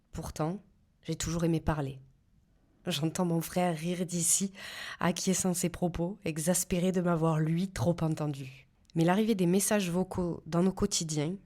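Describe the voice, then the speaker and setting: A woman in her thirties from south-east France, speaking with a southern French accent as she reads her own podcast narration in a measured, careful way. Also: on the low side, mellow. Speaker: podcast host. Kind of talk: scripted solo podcast narration.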